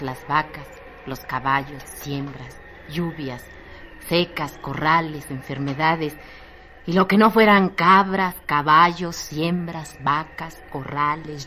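A woman's voice: low voice, fairly bright tone. A woman narrating a literary radio drama in an emphatic, dramatized, incantatory way. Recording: noisy radio drama recording.

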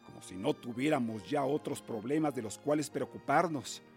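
An actor playing a stern, cautious middle-aged uncle in a radio drama, speaking in a measured, formal, paternal way. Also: male voice, medium pitch, faint music behind, natural voice. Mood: cautioning. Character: stern, cautious guardian uncle of colonial Mexico.

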